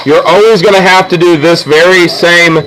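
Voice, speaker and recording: A man's voice: high-pitched, fairly even intonation, normal speed, a bit bright, rough recording. A man teaching a drawing lesson step by step in a casual way, often checking that his listeners follow. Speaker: art teacher.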